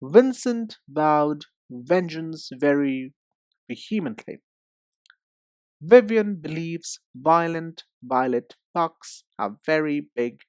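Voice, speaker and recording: A woman giving an instructional pronunciation lesson, speaking deliberately and enunciating with emphasis and pauses. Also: deep voice, a little mellow, no echo, studio quality. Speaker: English pronunciation teacher. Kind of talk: instructional lesson, demonstrating sounds.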